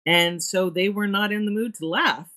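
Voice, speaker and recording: A woman's voice: mid-range voice, moderate pace, pushed and bright. A woman speaking as an interview guest about her theatre work, earnest and emphatic as she thinks aloud. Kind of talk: reflective interview talk.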